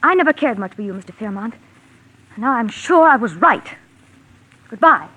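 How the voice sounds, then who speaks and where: A woman's voice: on the high side, expressive, moderate pace, background noise, close to an everyday voice. An American actress playing a proud, guarded young woman who is wary and indignant, in 1940s radio mystery style.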